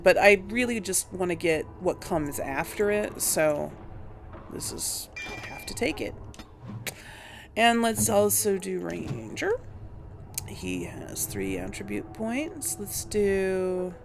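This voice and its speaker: A woman's voice: mid-range voice, vocal fry, a little hiss or hum. A woman giving casual game commentary, thinking aloud in a hesitant way. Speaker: video game player narrating a role play. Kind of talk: casual let's play commentary.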